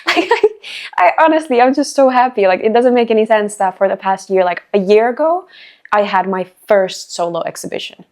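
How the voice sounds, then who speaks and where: A young woman, an artist guest talking in a casual interview, bubbly and quick to giggle. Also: mid-range voice, lively intonation, a little mellow. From Finland. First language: Finnish.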